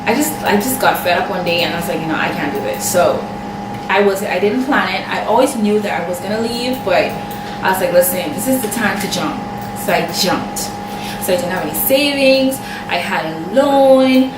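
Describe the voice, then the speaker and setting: A young Bahamian woman talks straight to camera, chatty and animated, stopping for asides as she tells a story. She sits back from the mic in a roomy, echoing space. A steady hum of noise runs under her voice. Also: mid-range voice, normal speed, recorded from a distance. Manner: chatty and animated, full of "like" and self-quoting. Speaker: makeup artist vlogger. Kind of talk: casual personal storytelling to camera. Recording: echoey room, off-mic, steady background noise.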